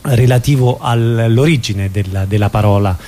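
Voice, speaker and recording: A male radio host interviewing his guests, pausing often as he searches for words. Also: lowish voice, mellow, slight background noise. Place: radio studio.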